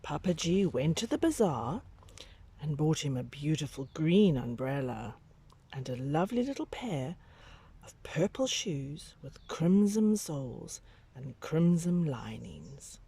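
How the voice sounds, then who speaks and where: A woman reading a children's picture book aloud, with deliberate, dramatic phrasing for young listeners. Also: deep voice, sing-song, creaky, dark tone, slightly rough.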